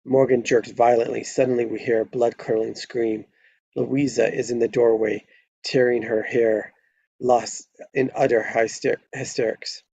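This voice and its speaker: A man's voice: mid-range voice, flat delivery, unhurried, creaky, darkish tone, breathy edge, no echo, low-quality recording. A man narrating a screenplay at a live table read, stumbling over words now and then and correcting himself.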